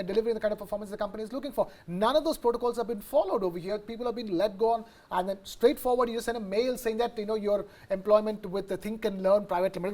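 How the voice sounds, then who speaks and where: A man with an Indian accent giving unscripted live news commentary, haltingly, repeating words as he explains. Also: sits high, a little mellow. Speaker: news correspondent analyst.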